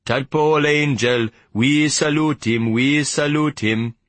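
A man voicing a prison work-gang leader who calls out a slow, rhythmic chant of solemn praise in an audiobook story. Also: a little high, fairly bright tone, dry recording, voice pushed up. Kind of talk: sung chant.

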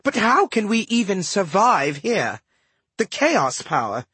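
A sarcastic young sister in a storybook, put on by a man reading aloud. The voice sits high and moves around a lot. She is snappy and wry, covering up hurt. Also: huge pitch swings, a little slow, pushed and bright, breathy edge, no echo, heavily acted. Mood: marveling, wry.

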